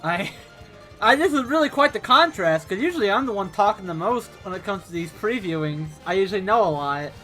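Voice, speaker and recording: A man on a casual podcast, excitable and prone to swearing and giggling. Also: high voice, brisk, soft background music, poor audio. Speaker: co-host.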